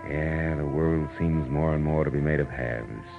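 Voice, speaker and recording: A very low-voiced private eye, hard-boiled, world-weary and wry. He muses in old radio-drama style. The sound is a dull vintage broadcast with music clearly behind it. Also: a little slow, exaggerated voice. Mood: wistful musing. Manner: wry, musing, colloquial. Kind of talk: 1940s radio drama acting, first-person narration.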